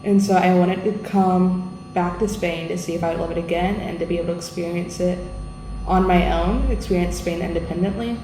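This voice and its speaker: A woman from the United States answers interview questions in an earnest, friendly way. Her voice sits somewhat low. The room is echoey, with clear background noise behind her. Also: fairly even intonation, fairly quick. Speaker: language assistant. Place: school room.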